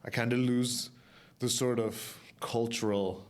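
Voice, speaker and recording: A young man from Ireland with a softened, somewhat Americanized Irish accent, telling his own story candidly and casually as a podcast guest. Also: mid-range voice, flat delivery, measured pace. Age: young adult.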